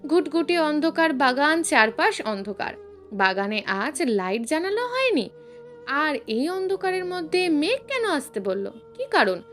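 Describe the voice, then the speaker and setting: A woman narrates a scripted romantic story in an expressive storytelling manner. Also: sits high, measured pace, soft background music.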